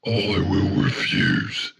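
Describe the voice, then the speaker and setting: A man voicing a brooding, menacing serial killer in a dark spoken-word monologue, breathy and half-whispered, in slow fragments. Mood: menacing, cold.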